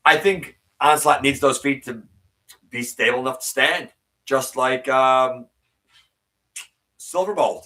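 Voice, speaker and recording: A man hosting a live stream, reading viewer comments and answering them in casual, opinionated chat, his voice sometimes a little raspy. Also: slow, long pauses, pushed and bright, distant mic. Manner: opinionated, ranting with rising pitch when worked up.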